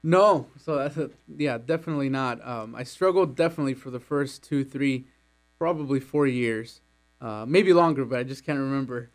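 A man's voice: medium pitch, normal speed. A young man from Mexico answering interview questions about his childhood, talking casually with many fillers and small laughs. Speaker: employee interviewed about his immigrant journey.

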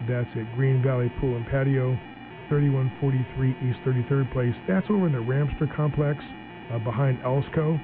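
A chatty, upbeat man hosts a casual radio talk segment, stumbling and restarting as he goes. His voice comes through a narrow, phone-like band. Music plays clearly underneath. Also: medium pitch, fairly even intonation, moderate pace. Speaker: radio talk show host. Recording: tinny phone-like broadcast with music.

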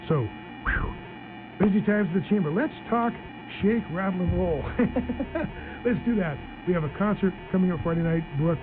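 Chatty man hosting over tinny radio. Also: on the high side. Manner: chatty and upbeat, with fillers and restarts. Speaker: radio talk show host. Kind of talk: casual radio interview. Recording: tinny phone-like broadcast with music.

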